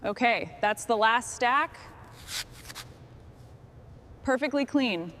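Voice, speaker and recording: A woman's voice: fairly high pitch, animated, slow pace, fairly bright tone, a little hiss or hum. A woman from a restoration crew talking in short, terse workshop remarks.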